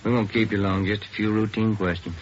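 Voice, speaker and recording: A male actor as a businesslike police detective in 1950s radio drama. He talks quickly in a fairly low, polite voice. The old recording is muffled, with faint noise. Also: fairly even intonation, natural voice. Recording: noisy, dull vintage radio recording.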